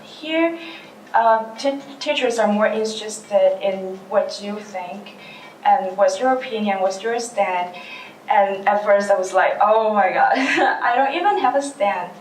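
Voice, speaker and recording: A woman's voice: mid-range voice, a little slow, slight background noise. Young Cantonese-speaking student woman, echoey. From southern China. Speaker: international student. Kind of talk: interview clip. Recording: roomy distant mic with soft music.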